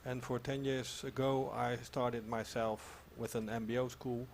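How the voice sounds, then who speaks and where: A man's voice: medium pitch, flat delivery, measured pace, slight background noise. Dutch-accented man introducing himself. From Limburg, Netherlands. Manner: non-native phrasing. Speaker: guest, former school director. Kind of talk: self-introduction in an interview.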